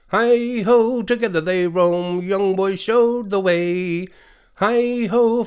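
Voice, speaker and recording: A man's voice: on the high side, a little mellow, smooth, pitched above the performer's own voice. A man voicing a small, gleeful storybook creature with an exuberant singsong nursery-rhyme delivery. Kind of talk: storybook character voice.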